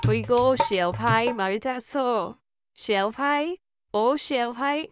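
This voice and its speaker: A woman's voice: fairly high pitch, moderate pace, lightly acted. A woman voicing a chatty, curious village neighbour woman in a cartoon story. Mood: searching, calling. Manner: chatty, inquisitive. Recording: loud music behind the voice.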